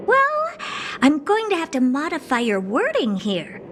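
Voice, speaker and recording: A woman's voice: high-pitched, huge pitch swings, a little slow, a bit bright, a little hiss or hum, heavily acted. A woman playing a haughty, doll-like genius scientist in a video game, condescending and blunt. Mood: flippant. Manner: condescending, smug, blunt, bored drawl turning to keen curiosity. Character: haughty, doll-like female genius scientist who owns a space station. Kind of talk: video game cutscene acting. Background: music.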